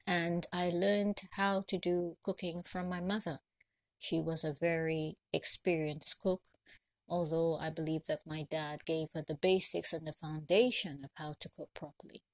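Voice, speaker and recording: A woman from Trinidad and Tobago hosts a solo podcast, sharing personal experience and encouragement with deliberate, repeated phrasing. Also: low-pitched, flat delivery, no room sound. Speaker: podcast host, chef and caterer. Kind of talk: solo podcast talk, motivational personal story.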